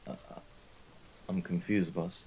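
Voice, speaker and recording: A man's voice: low-pitched, flat delivery, slow pace, mellow, husky, exaggerated voice. A man playing a loyal, deferential mafia henchman in a scripted ASMR roleplay drama, earnest and submissive toward a superior. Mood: shocked, pleading.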